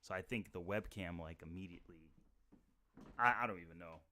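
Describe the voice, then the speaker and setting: A man's voice: low-pitched, fairly quick, pushed and bright. A man hosting a casual live stream, talking in a rambling way with lots of restarts and fillers. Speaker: livestream host. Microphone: computer mic with webcam.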